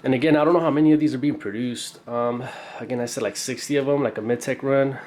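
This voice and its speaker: A young man with a congested, stuffy voice talks through an unboxing in a loose, rambling way, peppering it with fillers and slang. His delivery stays fairly flat in pitch, with a roomy echo and a faint hiss in the background. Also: fast. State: congested from a cold. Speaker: knife collector reviewing a purchase. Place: indoor room, camera over a tabletop.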